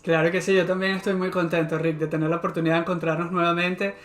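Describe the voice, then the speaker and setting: A Venezuelan man hosts a casual interview podcast. His voice sits high for a man, and he talks in a friendly, meandering way with frequent restarts. The sound is roomy and echoey. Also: little pitch movement, quick pace, a bit bright. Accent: Venezuelan Spanish. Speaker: podcast host.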